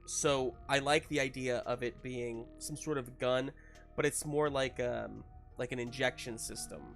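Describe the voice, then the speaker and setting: A man's voice: mid-range voice, steady tone, measured pace, creaky, faint music behind. A man working as game master, improvising narration and talking through a tabletop game.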